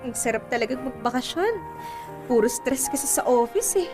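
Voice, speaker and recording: A woman's voice: sits high, animated, normal speed, music in the background. A woman playing a curious, persistent, slightly pouty younger sister in a Filipino radio drama. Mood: casual. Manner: inquisitive, pleading, pouty.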